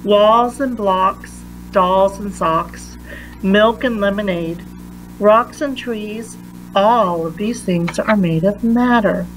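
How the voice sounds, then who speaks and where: Female read-aloud narrator for a kids' science book, unhurried and emphatic, faint noise behind. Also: mid-range voice, low-quality recording. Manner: deliberate, emphatic phrasing for young listeners.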